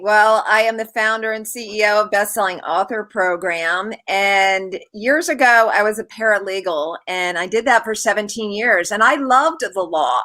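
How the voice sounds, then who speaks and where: Bright passionate middle-aged woman. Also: medium pitch. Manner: passionate, earnest. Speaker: guest, author program founder. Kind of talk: personal story in a panel. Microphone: video call.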